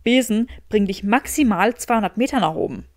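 A woman's voice: mid-range voice, fairly quick, a little mellow, lightly acted. A woman voicing a cocky, sardonic young man with a sarcastic drawl, in an audiobook story. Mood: argumentative.

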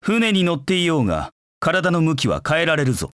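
An actor voicing a proud, cold dark knight in a video game, with curt, haughty, disdainful delivery. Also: male voice, a little high, wildly swooping pitch, brisk, no echo, studio quality. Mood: cool, disdainful. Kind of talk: video game voice acting in short battle lines.

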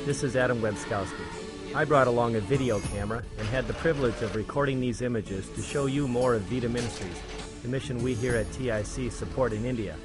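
A man, a church volunteer, reading a plain, measured documentary voice-over about a mission trip. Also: medium pitch. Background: background music.

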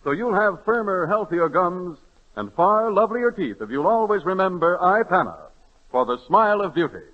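A man's voice: high-pitched, expressive, moderate pace, a little hiss or hum. An American man reading an advertisement as a 1930s radio announcer. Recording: dull 1930s radio recording.

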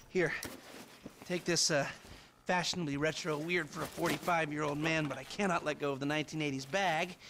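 An actor playing a sardonic middle-aged hacker who rants in quick, acerbic bursts in a game cutscene. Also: male voice, fairly high pitch, vocal fry, a bit bright, slight background noise. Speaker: game character.

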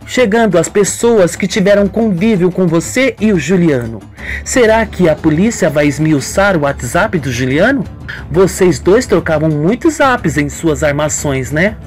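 Man voicing a taunting woman rival, high-pitched.

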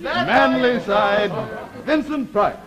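A man's voice: high-pitched, animated, takes it slowly, very noisy. A man in his thirties with an American accent hosts a live 1950s television game show, ad-libbing introductions in a breezy, joking, chatty way. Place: television studio living-room set. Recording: old 1950s TV soundtrack, studio audience. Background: studio audience reactions.

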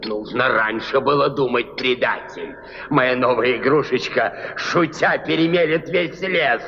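A man's voice: medium pitch, measured pace, a bit bright. An actor voicing a cruel, gloating cactus king in a dubbed cartoon, theatrical and menacing with mock courtesy. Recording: muffled old film soundtrack, long reverb, music.

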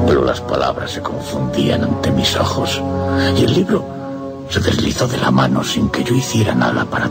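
Casting: anguished lovesick priest, Castilian Spanish, extremely low voice, swooping dramatic intonation, radio play. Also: male voice, full character voice. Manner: brooding, dramatic, impassioned delivery. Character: tormented lovesick young priest confessing his torment. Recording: music under the voice. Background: music.

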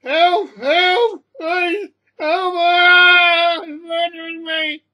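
A man's voice: extremely high pitch, fairly even intonation, very slow, bright, forceful tone, pitched above the performer's own voice, full character voice. A man voicing a hysterical, terrified airline passenger in a story, shrieking and wailing melodramatically. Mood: terrified panic.

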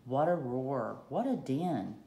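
A woman reading a children's picture book aloud in short, deliberate rhyming phrases, recorded at a table at home. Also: rumbling low, lively intonation, gravelly, warm tone. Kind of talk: read-aloud storytelling. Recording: roomy echo, faint background noise.

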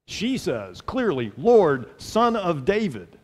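Earnest pastor, slightly high, reverberant hall. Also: male voice, very animated, slow, a little mellow, husky. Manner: earnest, emphatic, repeats phrases for effect. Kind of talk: sermon. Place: large church sanctuary, at a pulpit. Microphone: headset mic.

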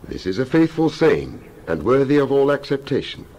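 A man reading scripture aloud in a dramatized style, solemn and declamatory, in measured phrases. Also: mid-range voice, sing-song, darkish tone, slight background noise. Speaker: Bible reader.

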